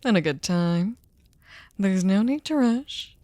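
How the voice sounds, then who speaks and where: A woman voicing a sadistic, possessive vampire hostess in an ASMR roleplay, silky, courtly and teasing, often slipping into breathy whispers. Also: medium pitch, animated, slow pace, mellow, no echo. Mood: playful, coaxing. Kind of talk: scripted ASMR roleplay, intimate dramatic monologue.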